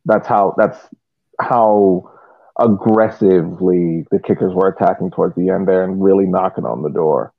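Deep-voiced man on a tinny line. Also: moderate pace, gravelly, no echo. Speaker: sports podcast panelist. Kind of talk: casual match analysis. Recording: dry, tinny phone-like sound.

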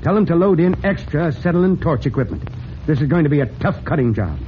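Steady commanding fire chief, acted by a man, American, fatherly, deliberate delivery, old radio drama, muffled sound, light hiss. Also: a little high, natural voice. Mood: urgent, commanding. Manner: deliberate, authoritative.

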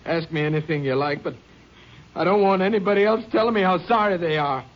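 An American actor playing a grieving, bitter husband in a 1950s radio drama, terse and strained. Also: male voice, sits high, quick pace, close to an everyday voice. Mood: anguished. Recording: muffled 1950s radio recording.

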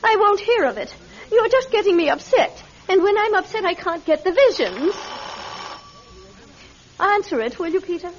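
Very high-voiced, troubled mother. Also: lively intonation, normal speed, long pauses, slight background noise. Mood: upset, firm. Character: gentle, troubled middle-aged mother with psychic gift. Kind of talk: old-time radio drama acting. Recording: dull vintage radio recording.

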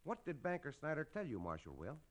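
An actor playing a gallant, courteous Mexican cowboy hero with a Mexican accent in an old-time Western radio drama, speaking in measured, formal phrases. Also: male voice, fairly high pitch, wildly swooping pitch. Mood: calm, reassuring.

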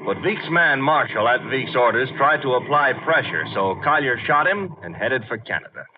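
A breezy, wisecracking detective's sidekick played by a man with a medium-pitched voice and a snappy, wry delivery. It sounds like 1950s radio drama, dull and slightly noisy. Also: expressive, normal speed, lightly acted. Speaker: supporting actor in detective drama.

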